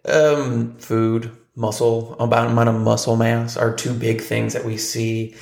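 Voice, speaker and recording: Man, forties, podcast co-host; gravelly, monotone delivery in a roomy space. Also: medium pitch, unhurried. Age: 40s. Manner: relaxed, storytelling, frequent ums. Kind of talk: casual podcast chat.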